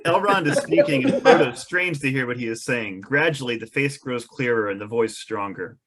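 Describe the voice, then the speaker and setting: A man reading scripted stage directions for a fantasy screenplay as narrator, in measured storytelling style. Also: medium pitch, over a noisy crowd.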